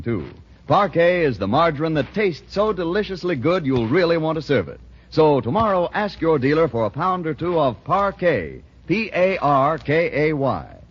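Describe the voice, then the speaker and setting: A man's voice: on the high side, sing-song, moderate pace. A man announcing a 1940s radio show and reading its sponsor's message with a polished, earnest sales delivery. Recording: dull, husky-sounding 1940s radio recording.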